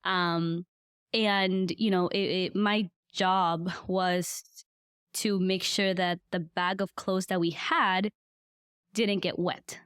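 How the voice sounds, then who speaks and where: Smooth-voiced woman telling her story. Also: on the low side, steady tone, slow, a bit bright, no echo, clean studio sound. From Guatemala. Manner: earnest and reflective, with frequent fillers. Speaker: podcast guest. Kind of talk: conversational life-story interview.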